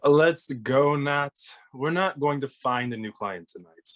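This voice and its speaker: A serious, weary detective in an animated comedy, read by a male actor. He is deadpan, in an average-pitched voice, like a long-suffering brother. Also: measured pace, lightly acted. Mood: resigned.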